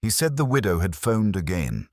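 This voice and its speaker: A man narrating a first-person detective story in a measured, melancholy, literary manner. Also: fairly low pitch, sing-song, no room sound, studio quality. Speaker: audiobook narrator.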